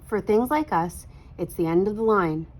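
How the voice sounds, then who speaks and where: A woman's voice: mid-range voice, normal speed, darkish tone, natural voice. A woman voicing a mild, wry ghost ornament in a children's storybook, with a hollow tone. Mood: gloomy.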